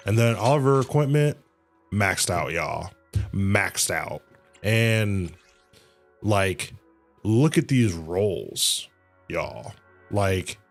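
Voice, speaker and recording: A man giving casual, rambling livestream commentary on a game, often repeating himself. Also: on the low side. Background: faint game music.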